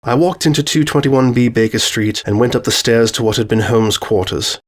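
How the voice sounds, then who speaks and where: A man's voice: medium pitch, moderate pace. A man narrating a scripted short story as its narrator.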